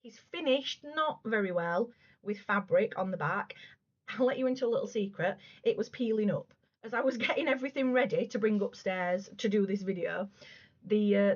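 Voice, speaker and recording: A woman in her thirties, a crafting vlogger, chatting casually and gushing about her work, her voice going a little hoarse. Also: mid-range voice. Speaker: cross stitch vlogger. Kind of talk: casual show-and-tell chat. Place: room at home. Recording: slightly dull lo-fi home recording.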